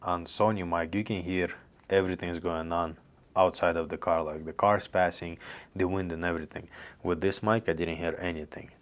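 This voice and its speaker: Deep-voiced young man, flat and casual. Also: moderate pace. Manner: loose, chatty, unpolished phrasing with restarts. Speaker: gear reviewer. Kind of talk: casual product review vlog. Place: small indoor office room. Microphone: handheld reporter mic and lavalier, on-camera framing.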